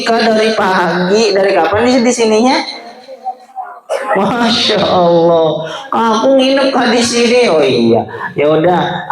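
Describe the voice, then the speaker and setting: Slow-paced male children's storyteller, echoey room. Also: high voice. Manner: playful, questioning, addressing children directly. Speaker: children's storyteller and religious teacher. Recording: echoey room with music behind.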